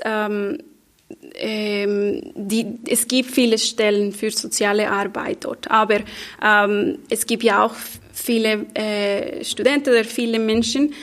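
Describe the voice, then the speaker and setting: A woman and talk show guest who speaks German as a second language, halting and searching for words. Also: a little high. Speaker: guest, social worker. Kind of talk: discussion answer.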